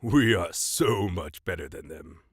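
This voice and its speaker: A man's voice: sits low, animated, measured pace, breathy edge, dry recording, studio quality, heavily acted. A man playing an arrogant, gleefully evil ten-year-old boy genius in a comic audio drama, with a pompous manner. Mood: haughty, curious. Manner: pompous, coolly villainous.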